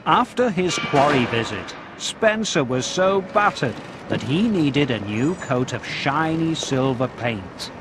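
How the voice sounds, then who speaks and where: Animated, unhurried male children's narrator. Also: mid-range voice. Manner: clearly enunciated storytelling, addressed to young children. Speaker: narrator of a children's train cartoon game. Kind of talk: scripted children's story narration with game prompts. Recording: loud music behind the voice. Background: music.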